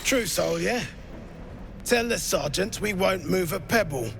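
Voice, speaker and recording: Drunken dwarf slave-driver, high gravelly voice, wildly swinging intonation, brash, coarse, game dialogue. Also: male voice, animated, measured pace, a bit bright, a little hiss or hum, heavily acted. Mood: gruff, bossy. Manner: brash, mocking, coarse slang. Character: rowdy, drunken, cruel male duergar slave-driver. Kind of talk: video game character acting.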